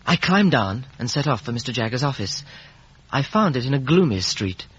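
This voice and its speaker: A man's voice: mid-range voice, very animated, normal speed, a bit bright, husky. An actor playing an earnest, polite young man in a 1950 radio drama, both acting and narrating. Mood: reflective narration. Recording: muffled, hissy vintage radio recording.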